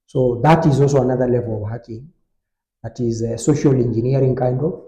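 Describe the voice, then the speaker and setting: A man on a podcast, explaining an online scam step by step in a relaxed conversational way, with frequent fillers. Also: mid-range voice, brisk, echoey room, low-quality recording. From Kenya. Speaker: technology expert on a podcast. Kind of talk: conversational storytelling.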